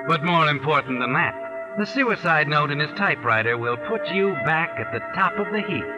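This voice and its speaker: Man acting a sardonic radio mystery narrator over loud music. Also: fairly high pitch, animated, normal speed, muffled recording, lightly acted. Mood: gloating. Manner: insinuating, mocking, second-person address. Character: sardonic, omniscient male mystery narrator taunting the guilty man. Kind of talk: 1940s radio drama narration. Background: dramatic music.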